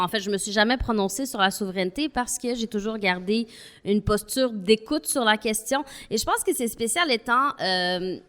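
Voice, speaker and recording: A woman's voice: a little high, a bit bright. Earnest woman. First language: not French. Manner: earnest, thoughtful, argues step by step. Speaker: panel guest. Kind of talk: panel discussion.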